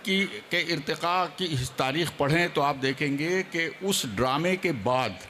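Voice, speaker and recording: Panelist, male. Slightly high pitch, formal lecturing delivery with English terms, faint background noise. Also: expressive, a little slow, bright, forceful tone. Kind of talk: formal panel discussion talk.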